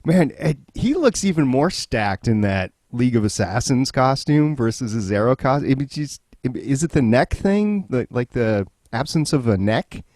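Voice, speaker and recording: A man's voice: mid-range voice, sing-song, moderate pace, few pauses, darkish tone, dry recording, clean studio sound. A man hosting a podcast, chatting casually and jokily about television. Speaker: podcast host. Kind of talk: casual TV recap chat.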